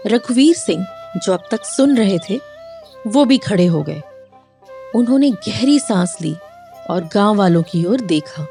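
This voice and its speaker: A woman's voice: mid-range voice, expressive, unhurried, dark tone, soft background music. A woman narrating a cartoon moral story in a scripted storybook style.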